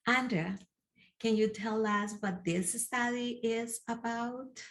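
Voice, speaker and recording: A woman's voice: on the high side, takes it slowly. A middle-aged woman with a Colombian Spanish accent, a native Spanish speaker, earnestly explaining a study as an engagement specialist in a recorded presentation from her living room.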